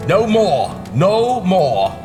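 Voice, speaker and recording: Anguished old miser, slow pleading man acting. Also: high-pitched, big pitch swings, darkish tone, exaggerated voice. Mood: distraught, pleading. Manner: pleading, drawn-out, distraught. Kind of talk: radio play acting. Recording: long reverb, music behind.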